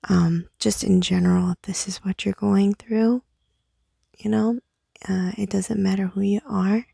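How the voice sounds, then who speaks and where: A young woman whispering a guided meditation outdoors at night into a small microphone, soft and unhurried with fillers. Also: medium pitch, fairly even intonation, vocal fry, husky, dry recording, clean studio sound. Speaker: meditation host. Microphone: small handheld microphone.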